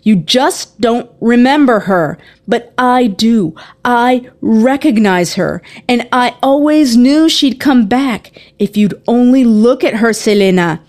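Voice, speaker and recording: A woman's voice: a little high, lively intonation, slow, hardly any pauses, a little mellow, voice pushed up. A woman voicing a young, protective older sister in a story, earnest and insistent, with pleading emphasis.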